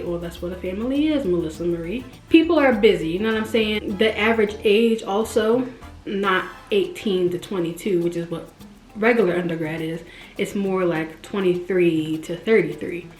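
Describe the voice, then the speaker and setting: A chatty, expressive woman in her mid-twenties talks straight to her viewers in a loose, casual way. Her voice leaps upward when she stresses a point. The sound is roomy and echoey, with soft music underneath. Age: 20s. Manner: chatty and expressive, jumps up in pitch for emphasis. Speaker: vlogger. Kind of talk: casual direct-to-camera chat. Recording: roomy echo with faint music.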